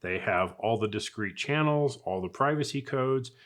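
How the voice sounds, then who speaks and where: A man with a medium-pitched voice talks through a product review. He is casual and unscripted, chatting as he goes and thinking out loud in a conversational, everyday way. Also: animated, moderate pace. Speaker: product reviewer, channel host.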